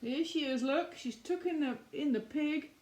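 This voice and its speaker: A woman reading a picture book aloud to young children in an expressive way, with chatty explaining asides. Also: high voice, moderate pace, a little hiss or hum, low-quality recording.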